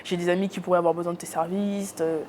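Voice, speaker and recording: A woman's voice: on the low side, little pitch movement, fast, over a noisy crowd. A young woman from Cameroon, a YouTuber guest in a casual interview, talking with many hesitations.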